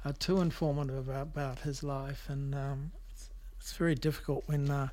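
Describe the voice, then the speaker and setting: A man hosting a music show on radio, talking casually and off the cuff in a halting, rambling, hesitant way. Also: mid-range voice, flat delivery, darkish tone. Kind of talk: casual, unscripted back-announcing of records.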